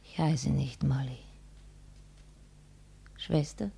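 Young grieving daughter, low, strongly creaky, breathy, halting, humble yet proud, radio drama. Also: female voice, full character voice. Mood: subdued, pleading. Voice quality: breathy, husky. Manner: halting, hushed. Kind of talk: mid-20th-century German radio play acting.

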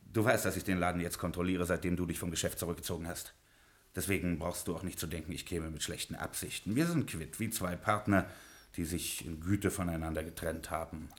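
An actor in a 1960s German radio drama playing a smooth-talking gangster boss with a measured, persuasive manner. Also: male voice, sits low, lightly acted. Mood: confiding, wary.

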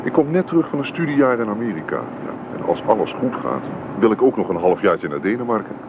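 An actor playing an earnest, self-doubting young farmer's son in a Dutch radio play. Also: male voice, lowish voice, very animated, a little fast. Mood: modest, chatty. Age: young adult. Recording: tinny, noisy old radio play recording.

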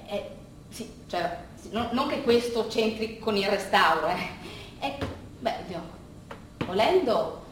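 A woman acting a monologue as a flustered, anxious art restorer who addresses an audience haltingly, stammering, repeating and correcting herself. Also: mid-range voice, fairly bright tone. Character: flustered, anxious art restorer, mentally ill man's daughter. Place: performance space with an audience. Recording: very echoey distant live recording, faint noise.

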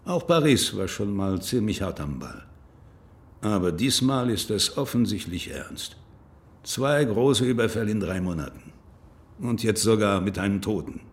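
An actor playing a grave, insistent government official from Belgium in a radio drama, formal and deliberate in delivery. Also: male voice, lowish voice, lively intonation. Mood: grave explaining. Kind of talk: naturalistic radio drama acting.

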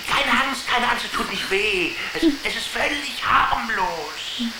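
A polite, officious emissary from inside television, for a radio drama. His formal speech swings widely in pitch and repeats itself insistently. The sound is roomy, with clear noise behind him. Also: animated, takes it slowly, exaggerated voice. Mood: soothing, urgent. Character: officious, soothing male emissary from television world.

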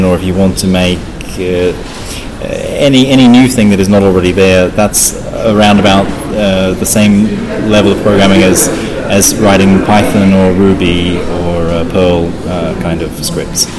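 A man being interviewed as a software developer, explaining technical things informally, step by step with frequent restarts. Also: sits low. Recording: clearly noisy background.

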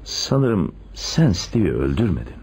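An actor playing a calculating police chief with a secret lover, deliberate and probing, in a radio drama. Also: male voice, low voice, big pitch swings, a little mellow, slightly rough, full character voice. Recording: radio drama with audible background noise.

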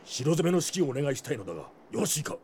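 An actor playing a stern, devoted older knight commander in a fantasy audio drama, speaking in formal, archaic phrases. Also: male voice, on the high side, very animated, rapid-fire, dark tone, a little hiss or hum. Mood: grave, resolute.

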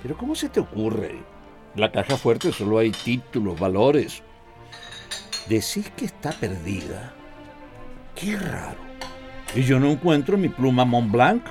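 An actor playing an exasperated, flustered wealthy husband in a radio drama. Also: male voice, mid-range voice, sing-song, unhurried, long pauses, darkish tone, soft background music.

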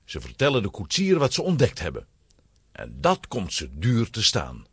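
A man narrating a scripted children's story with a husky voice. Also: lowish voice, huge pitch swings, moderate pace, fairly bright tone, no room sound, studio quality.

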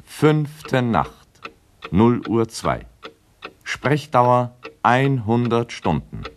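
An actor playing an impassive timekeeper in a radio play, making terse, matter-of-fact announcements over a slightly noisy recording with a ticking clock. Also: male voice, medium pitch, big pitch swings, drawn-out words. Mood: impassive, factual. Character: impassive male timekeeper announcing hours of talking.

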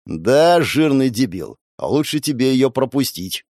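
A man's voice: medium pitch, big pitch swings, a little slow, a little mellow, no room sound, clean studio sound. A man playing a cool, commanding, contemptuous young demon summoner in a fantasy audiobook.

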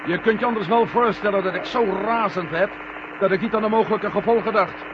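High-voiced astronaut commander played by a man, muffled recording. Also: a little fast, close to an everyday voice. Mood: businesslike, firm. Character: male moon-mission astronaut commander, firm, cautious, sometimes confused. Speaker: actor in a radio play. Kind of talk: 1970 Dutch science fiction radio drama acting. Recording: muffled, noisy old radio play recording.

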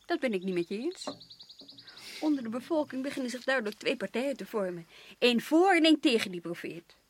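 An actress playing a weary, disillusioned colonial official's wife in a radio play, sharp-tongued and reproachful. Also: female voice, a little high, lively intonation, fairly quick, a little hiss or hum, natural voice. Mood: warning, reproachful. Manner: pointed, reproachful. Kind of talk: radio drama acting in Dutch.

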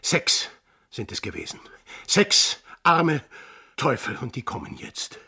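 An actor with an Austrian accent playing a bitter, sardonic sailor in a radio drama, scathing and theatrical. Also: male voice, medium pitch, huge pitch swings, slow pace, gravelly, bright, forceful tone, husky, full character voice. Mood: scathing, menacing. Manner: scathing, sarcastic, swinging from hissed whispers to bellowing. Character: bitter, sardonic sailor confronting an old war criminal.

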